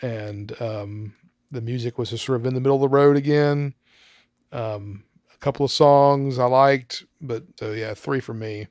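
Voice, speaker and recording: A man's voice: medium pitch, moderate pace, darkish tone, dry recording, studio quality. A man on a film podcast panel, giving his ratings in casual chat with lots of ums.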